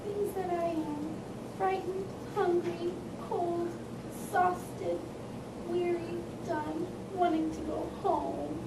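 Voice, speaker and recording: A timid young girl played by a woman recites puppet-show verse slowly. The voice is very high and plaintive, picked up from far off with noise around it. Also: steady tone, heavily acted. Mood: weary, afraid. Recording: noisy echoey room from far off.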